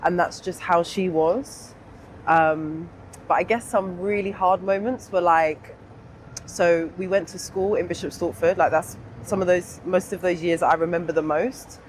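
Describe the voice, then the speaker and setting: A young adult woman with a southern English accent speaks candidly and informally in an interview, pausing to think as she reflects. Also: fairly low pitch, fairly even intonation, a little hiss or hum, poor audio. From England. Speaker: interview guest.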